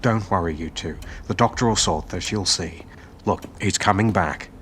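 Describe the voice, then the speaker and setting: Low-voiced reassuring sergeant, played by a man. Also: normal speed, fairly bright tone. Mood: reassuring, alert. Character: steady, reassuring army sergeant. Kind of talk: audio drama acting. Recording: clear background rumble.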